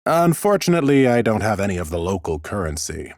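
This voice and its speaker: A man's voice: on the low side, sing-song, fast, a little mellow, no echo, studio quality. A man voicing an ancient, mighty lich in a fantasy audiobook, bookish and courteous, with a formal, pompous manner. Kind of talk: audiobook character acting.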